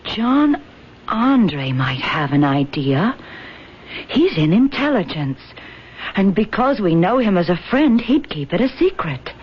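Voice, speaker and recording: An actress playing a devoted young wife in a radio drama, earnest, emotive and persuasive. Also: female voice, mid-range voice, very animated, slow, slight background noise. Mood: coaxing. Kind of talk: old-time radio drama acting. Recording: dull vintage radio recording.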